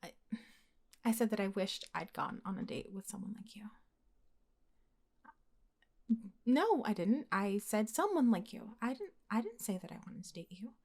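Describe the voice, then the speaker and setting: A young woman acting as a blunt, secretly smitten best friend in an intimate, conversational ASMR roleplay, with a casual, expressive delivery. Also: mid-range voice, brisk. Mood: flustered, confessing. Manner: casual, expressive, sighing, sweary.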